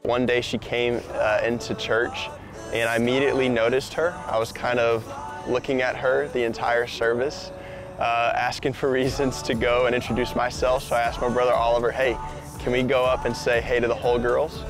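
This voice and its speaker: A man's voice: mid-range voice, little pitch movement, normal speed, music in the background. A young adult man casually telling his own love story in a personal, conversational style.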